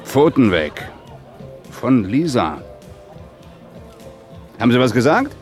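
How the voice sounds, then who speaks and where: An actor playing an officious, menacing supervisor in a radio play, blunt and condescending. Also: male voice, medium pitch, sing-song, takes it slowly. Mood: veiled threat. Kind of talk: German radio play acting. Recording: music and faint crowd behind, outdoors.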